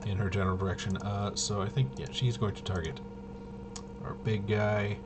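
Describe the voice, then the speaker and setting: A man's voice: fairly low pitch, level tone, normal speed. A man giving casual gameplay commentary, thinking aloud and muttering to himself in a puzzled, self-questioning way. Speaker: player running a tabletop game demo. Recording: clearly noisy background.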